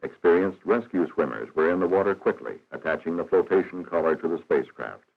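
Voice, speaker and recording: A man reading scripted narration for a 1960s educational film in a formal, measured, matter-of-fact manner. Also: sits low, lively intonation, creaky. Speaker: documentary narrator. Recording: dull, band-limited 1960s film soundtrack.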